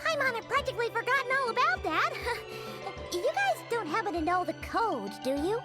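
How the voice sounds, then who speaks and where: Squeaky, excitable little fairy companion. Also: female voice, normal speed, music in the background. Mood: perky, curious. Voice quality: squeaky, childlike. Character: tiny childlike fairy companion, excitable and curious.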